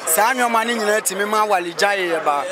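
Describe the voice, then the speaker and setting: Male interviewee, Ghanaian accent, high voice, casual and opinionated, crowded street recording. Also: fast, crowd noise.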